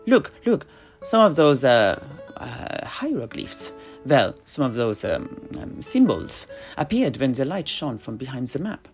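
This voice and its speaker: A performer acts out a burly, simple-hearted man who helps out in a fantasy tavern. His pitch swings widely as he eagerly repeats himself and stumbles over words. Instrumental music plays behind him in this audio drama. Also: very animated, a little slow. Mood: excited. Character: big strong tavern helper, male, eager, excitable, simple-hearted.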